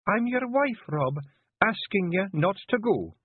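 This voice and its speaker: A man voicing a tiny, fierce fairy clan matriarch with a broad Scottish accent and a sharp, commanding delivery. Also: high-pitched, sing-song, measured pace, a little hiss or hum, voice pushed up. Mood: pleading. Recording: phone-like narrow band.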